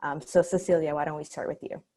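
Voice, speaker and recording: Woman, panel moderator, deep voice for a woman, upbeat, video call. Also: steady tone, quick pace. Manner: upbeat, warm introductions. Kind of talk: hosting a panel.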